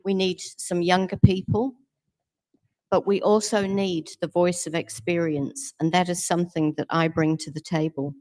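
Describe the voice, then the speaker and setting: Woman, middle-aged, council candidate, steady assured delivery, lowish voice. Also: moderate pace. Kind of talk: campaign closing statement.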